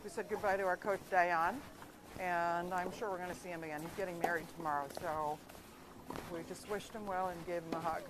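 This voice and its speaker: A woman's voice: fairly low pitch, steady tone, a little fast. A woman vlogging casually to her followers in a candid, opinionated, emphatic way, on a noisy handheld recording. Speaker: vlogger filming social media stories. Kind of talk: casual chatty phone vlog narration. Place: on the go, around a tennis centre.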